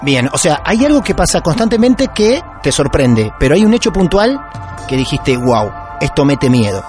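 A man's voice: a little high, over music. A man with an Argentine Spanish accent hosting a casual paranormal podcast and interviewing a guest. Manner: lively, drawn-out welcoming delivery. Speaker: podcast host.

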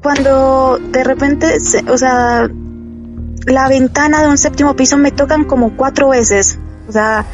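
A young woman telling a true spooky story in a hesitant, animated way, full of fillers. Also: sits high, unhurried, dull audio, over music. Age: young adult. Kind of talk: casual storytelling.

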